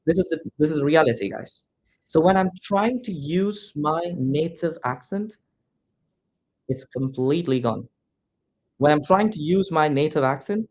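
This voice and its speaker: A man from India whose first language is Malayalam, teaching English pronunciation in a direct, repetitive classroom manner. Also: a little high. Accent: Indian, neutralized English. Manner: direct, repetitive, addresses students as "guys". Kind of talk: classroom instruction to students.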